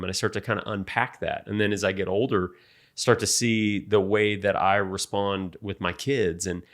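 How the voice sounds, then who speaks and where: A man in his late forties talking about his insecurities in an earnest, halting way, often restarting his sentences. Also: lowish voice, a bit bright. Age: 40s. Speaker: podcast co-host. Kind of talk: reflective faith talk.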